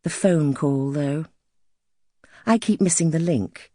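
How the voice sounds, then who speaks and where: A woman narrating first-person literary fiction as an audiobook, in a wry, expressive storytelling manner. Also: low voice, takes it slowly, dry recording, clean studio sound.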